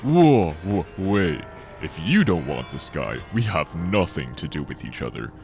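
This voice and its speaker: Man acting a rumbling, extremely deep bandit. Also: very animated, a little slow, darkish tone, faint music behind, full character voice. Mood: nervous, placating. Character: hulking deep-voiced male bandit, wary, backing down. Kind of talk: anime fandub acting.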